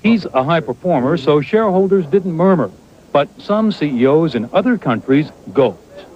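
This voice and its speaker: A man's voice: a little high, animated, moderate pace, slight background noise. A man narrating a news report with wry, punchy phrasing. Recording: muffled old TV broadcast.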